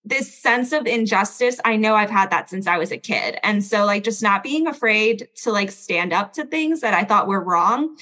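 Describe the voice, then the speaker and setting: A woman's voice: mid-range voice, animated, pushed and bright. A young woman born in Belgium with a standard American accent, telling her story as a guest in a casual interview, chatty, with lots of "like". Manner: chatty, lots of "like" and quick laughs.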